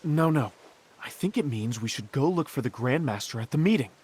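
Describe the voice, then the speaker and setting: A man's voice: medium pitch, animated, brisk, husky. A man playing a helpful, modest craftsman friend in a video game, earnest and a little hesitant as he explains things. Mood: eager, explaining. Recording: loud background music.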